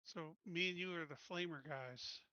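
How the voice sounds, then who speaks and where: Man, tabletop player, high bright voice, plans moves, restarts, dry call audio. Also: no echo, lo-fi sound. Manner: strategizing, quick restarts. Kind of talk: casual game table talk.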